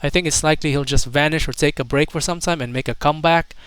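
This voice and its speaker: A man's voice: a little high, quick pace, fairly bright tone, smooth, no echo. A man doing casual video commentary, reading out online comments in an amused, mocking way.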